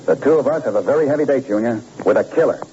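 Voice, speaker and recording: An actor playing a tough, no-nonsense male police detective in a 1940s radio drama, firing off brisk questions. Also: mid-range voice, dark tone, natural voice. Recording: hissy dull old radio broadcast.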